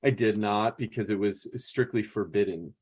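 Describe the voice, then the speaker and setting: An American man co-hosts a podcast in a relaxed conversational style. He tells casual anecdotes in a fairly low voice. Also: moderate pace, slightly rough. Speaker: podcast co-host, basketball coach.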